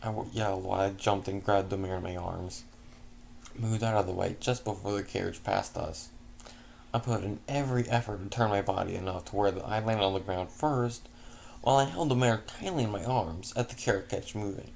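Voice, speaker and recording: A man narrates a first-person story, reading it aloud with plenty of stumbles and quick self-corrections. His voice is low and gravelly with noticeable fry. A faint background hiss sits under the reading. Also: darkish tone. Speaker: fanfiction reader.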